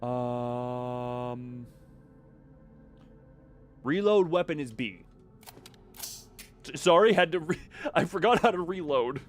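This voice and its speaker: A man's voice: mid-range voice, expressive, slow pace, pauses often, soft background music. A man giving casual live gameplay commentary, rambling, self-deprecating and thinking aloud. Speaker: video game streamer. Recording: faint background noise and game ambience.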